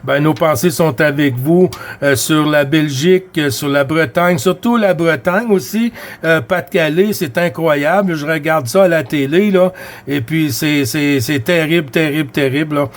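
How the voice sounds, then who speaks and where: Man, Quebec French accent, slightly high voice, casual CB radio chat, repetitive phrasing. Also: moderate pace. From Quebec, Canada. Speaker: CB radio operator.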